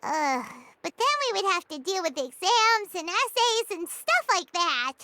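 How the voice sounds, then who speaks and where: A woman's voice: very high voice, big pitch swings, bright, forceful tone, slight background noise, full character voice. A woman voicing a tiny, chirpy female fairy companion in a video game, bubbly and eager. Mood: curious. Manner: bubbly, eager, quick to react. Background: game music.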